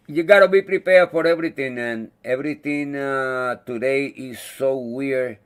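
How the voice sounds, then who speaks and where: A man whose first language is not English, hosting a casual live chat, talking in a halting way and searching for words. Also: mid-range voice, smooth. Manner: friendly, thanks viewers by name. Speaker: livestream host.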